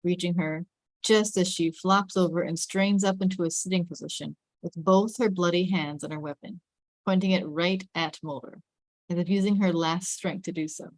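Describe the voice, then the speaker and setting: A woman's voice: on the low side, fairly even intonation, normal speed, no echo, low-quality recording. A woman reading screenplay stage directions as scripted narration.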